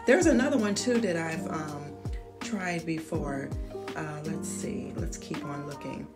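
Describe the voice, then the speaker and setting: A woman's voice: low voice, a little slow, loud music behind the voice. A woman in her fifties chatting folksily to viewers on a handheld phone while shopping and sitting in her car. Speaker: vlogger. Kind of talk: casual shopping vlog. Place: store aisles and parked car. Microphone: handheld phone camera.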